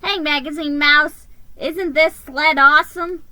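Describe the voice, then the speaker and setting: Woman as an excitable, high-pitched Christmas elf guy. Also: unhurried, no echo. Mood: exuberant. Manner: shrieking, exuberant. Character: excitable, cheerful male Christmas elf, reckless sleigh driver.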